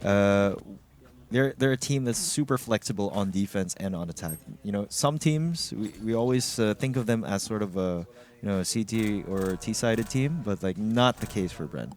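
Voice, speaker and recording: A man with a somewhat low voice co-casts a live esports match. He sounds casual and conversational, offering analysis as if thinking it through aloud. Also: lively intonation, moderate pace. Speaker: esports co-caster.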